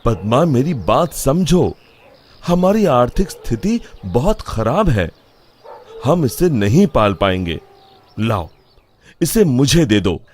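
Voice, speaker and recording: A man's voice: mid-range voice, sing-song, slow pace, pauses often, a little mellow, slight background noise. A man voicing a stubborn village father who dotes on his son, in an insistent, explaining manner for a children's story.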